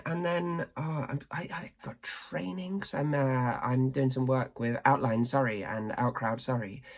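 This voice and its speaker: A young woman with a slightly croaky voice, chatting casually and rambling to camera. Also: rumbling low. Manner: chatty, rambling, self-deprecating. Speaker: vlogger.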